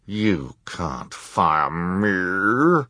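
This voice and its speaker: Man doing a high, theatrical villainess. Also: sing-song, a little slow, slightly rough, no echo, studio quality, heavily acted. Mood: indignant, shrill. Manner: dramatic, indignant, imperious. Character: vain, theatrical, scheming adult female villain.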